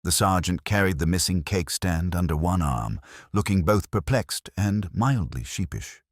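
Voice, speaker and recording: A man narrating a scripted mystery story in a measured, literary way. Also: low-pitched, lively intonation, no room sound, clean studio sound.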